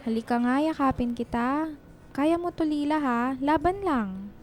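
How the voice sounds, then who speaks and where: A woman's voice: sits high, animated, a little slow, darkish tone, dry recording. An actress playing a supportive, frank best friend in a Tagalog radio drama, coaxing as she talks. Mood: consoling.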